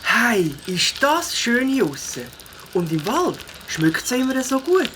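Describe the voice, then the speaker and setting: A woman's voice: a little high, very animated, slow, fairly bright tone, slight background noise, exaggerated voice. A woman playing a plucky little beaver who loves mountain biking in a children's audio play, talking expressively with a Swiss German accent. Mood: delighted.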